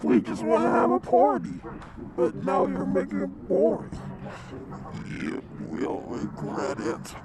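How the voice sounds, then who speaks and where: Man playing a slow, taunting killer clown. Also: very high-pitched, gravelly, exaggerated voice, low-quality recording. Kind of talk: analog horror monologue.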